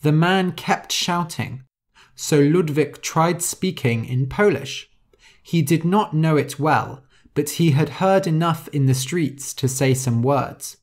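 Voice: mid-range voice, takes it slowly. A podcast host reading a simple story aloud for English learners, explaining words and spelling some out letter by letter.

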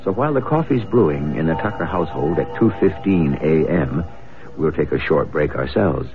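Deep ominous radio mystery host, a man. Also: lively intonation, normal speed. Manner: theatrical, ominous, addresses the listener. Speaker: radio drama host and narrator. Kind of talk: scripted, suspenseful 1970s radio narration. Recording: narrow old radio broadcast, loud music.

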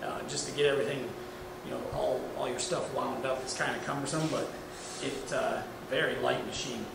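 Gravelly middle-aged man over loud fan noise. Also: mid-range voice, fairly even intonation, a little fast. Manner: informal, plain-spoken, practical. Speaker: product reviewer, welding demonstrator. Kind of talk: casual hands-on product demonstration. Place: indoor workshop demo area with hanging curtains. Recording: echoey room, loud constant fan hum. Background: machine fan and ventilation noise.

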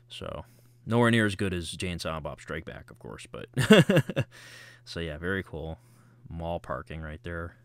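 A man's voice: low voice, smooth, dry recording. A man hosting a collectible unboxing video, chatting casually and rambling with lots of ums and small chuckles.

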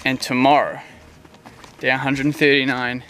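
A man's voice: mid-range voice, measured pace. A man in his twenties with a New Zealand accent, filming himself at arm's length on a forest trail and talking casually about his hike. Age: 20s. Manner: excited, breathless buzzing energy. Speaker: thru-hiker vlogger. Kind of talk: casual handheld trail vlog. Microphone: camera at arm's length. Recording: music playing underneath.

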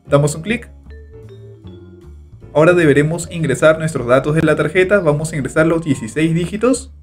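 Man, tutorial voice-over, slightly high voice, faint music underneath. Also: a little fast. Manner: steady instructional narration, repeats click steps. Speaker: tutorial host. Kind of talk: screen-recorded step-by-step tutorial. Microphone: voice-over over screen recording.

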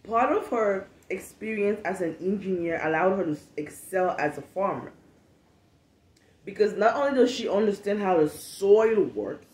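A woman's voice: medium pitch, a little mellow. A woman in her late twenties, raised in Cameroon, speaks English as her second language in a laid-back podcast monologue. She is candid and swears freely. The phone recording picks up a roomy echo from the space around her. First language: not English. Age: 20s. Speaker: podcast host. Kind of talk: casual monologue. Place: home room, seated at a table.

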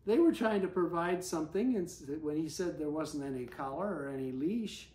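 An older man in about his seventies reads a storybook aloud to a small child, pausing for gentle asides and questions. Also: fairly high pitch, expressive, reverberant. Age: older, about 70s. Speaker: story reader. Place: living room armchair, indoors. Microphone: camera a few feet away.

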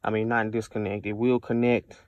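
A man's voice: on the low side, flat delivery, brisk, dry recording, dull audio. An American man giving a casual, unscripted product review in a conversational way, repeating himself for emphasis.

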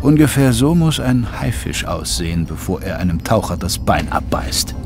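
A man's voice: lowish voice, big pitch swings, normal speed. A man narrating a scripted children's audio drama as its storyteller. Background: music.

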